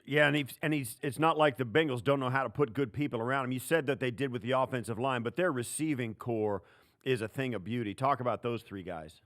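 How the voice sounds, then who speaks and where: A man with a medium-pitched voice co-hosts a sports podcast. He speaks conversationally, prompting a guest with comments and direct questions. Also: fairly quick, a bit bright.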